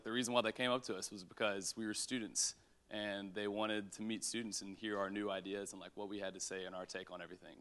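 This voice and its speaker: A man's voice: on the low side, a bit bright. A young man student speaking casually at a panel. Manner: casual, laid-back. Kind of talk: panel discussion.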